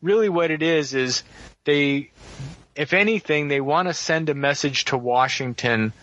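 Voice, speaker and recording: A man's voice: a little high, measured pace, fairly bright tone. A man giving rambling, sardonic political commentary on a talk radio show. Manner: wry, rambling, sardonic asides. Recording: dull lo-fi radio audio.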